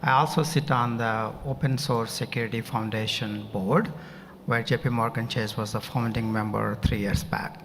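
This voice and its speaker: A man's voice: medium pitch, fairly even intonation, normal speed, noisy background. A man panelist introducing his cybersecurity role with enthusiasm. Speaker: panelist, bank security director. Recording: hall reverb with faint ambience.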